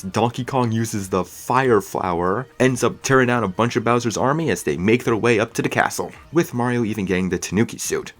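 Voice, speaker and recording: A man giving opinionated film review commentary as a video host, stretching key words for emphasis. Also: on the low side. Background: music under the voice.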